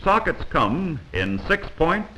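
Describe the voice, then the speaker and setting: A man's voice: on the high side, sing-song, slow, pushed and bright, low-quality recording. A man narrating a 1940s instructional film from a script, in an instructive, emphatic manner on a vintage film soundtrack.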